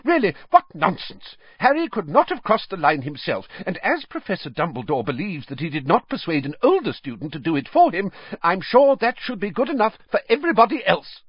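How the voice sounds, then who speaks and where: A stern older schoolmistress, clipped and sharp, voiced well above the male reader's own pitch. She speaks briskly and with authority. The recording is dry and a bit dull. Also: very animated, breathy edge, dry recording, full character voice. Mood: angry.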